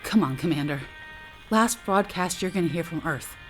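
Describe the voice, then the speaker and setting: Woman playing a low-pitched, wry astronaut. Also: lively intonation, normal speed, over music, natural voice. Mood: wry, bitter. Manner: sardonic, conversational, hesitant asides. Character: wry, talkative female astronaut, bravely facing doom. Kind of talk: naturalistic audio drama acting.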